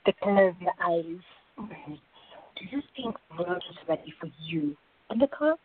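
A woman playing a sensible young working woman in a radio drama, measured, polite and dryly teasing. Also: lowish voice, animated, natural voice. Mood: teasing, affectionate. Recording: dull lo-fi recording.

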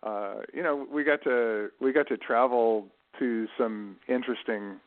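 A middle-aged man giving casual interview answers in an offhand, halting way, often restarting his sentences. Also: medium pitch, steady tone. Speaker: interview guest. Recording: thin narrow phone line.

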